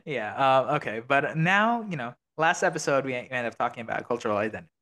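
Male, early twenties, mid-pitched voice, informal podcast co-host with loose conversational delivery. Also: lively intonation, a little fast, sharp tone. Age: early 20s. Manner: informal, swears casually, peppers talk with "like" and "you know". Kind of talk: casual chat.

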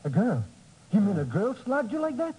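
An actor playing an excitable, muddled comic sidekick who stammers eagerly in a husky voice, 1950s radio drama. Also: male voice, high-pitched, normal speed, full character voice, low-quality recording. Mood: fretful. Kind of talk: 1950s radio comedy-relief acting. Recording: old 1950s radio recording.

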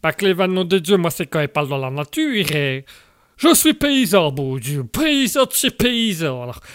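A man's voice: a little high, sing-song, moderate pace, a bit bright, smooth, no echo, studio quality, voice pushed down. A man voicing a rustic male farmer who blusters, swears mildly and stammers. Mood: moved. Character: rustic, gruff but soft-hearted male farmer.

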